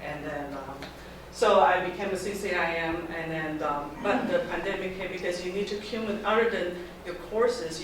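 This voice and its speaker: A middle-aged woman from Taiwan speaks to a group of students, her phrases halting and often starting over. She is picked up from a distance in a strongly echoing room. Steady background noise runs underneath. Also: lowish voice. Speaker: guest speaker. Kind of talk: informal talk to a student group. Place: lecture room. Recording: very echoey room, mic far off, background noise.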